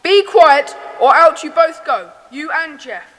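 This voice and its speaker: A bossy young boy, very high voice, scolding, echoey hall sound, kids audio play. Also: lively intonation, measured pace, a bit bright. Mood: stern. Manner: scolding, commanding. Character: bossy, indignant young schoolboy, older brother. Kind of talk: children's audiobook dramatisation. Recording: long hall-like reverb.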